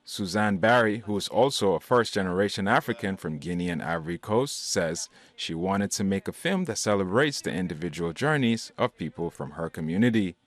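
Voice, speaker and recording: A man reporting, giving a measured, scripted news narration. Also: deep voice, dry recording. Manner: measured, even delivery.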